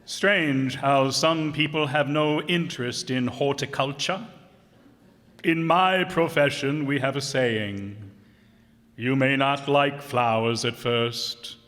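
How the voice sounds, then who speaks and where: A man playing a morbid, genteel undertaker with slow, solemn, formal speech and dry macabre wit, in old-time radio comedy style. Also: mid-range voice, a bit bright. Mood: dryly macabre. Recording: echoey hall stage performance.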